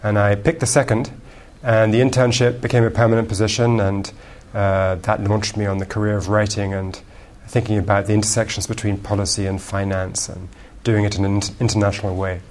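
A man's voice: lowish voice, little pitch movement, a little fast, darkish tone, a little hiss or hum. A man from England with an English accent tinged with American, answering interview questions fluently, with frequent ums and repeated words. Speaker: guest author.

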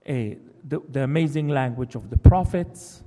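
A man's voice: mid-range voice, mellow. A man whose first language is Hebrew, a novelist giving a thoughtful talk in English and often restarting his sentences. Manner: thoughtful, halting, with many restarts. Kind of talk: reflective public talk. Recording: long reverb of a hall.

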